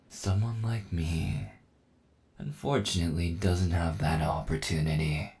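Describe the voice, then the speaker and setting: Male actor as smug cyborg kidnapper, deep voice, unhurried, taunting, dominant, ASMR roleplay. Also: darkish tone, exaggerated voice. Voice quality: low, gravelly. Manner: taunting, slow menace, chuckling condescension. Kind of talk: ASMR boyfriend audio roleplay.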